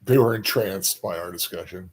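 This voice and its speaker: A man co-hosting a livestream in casual chat, giving brief, hesitant, low-key remarks. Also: moderate pace, slightly rough. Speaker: livestream co-host.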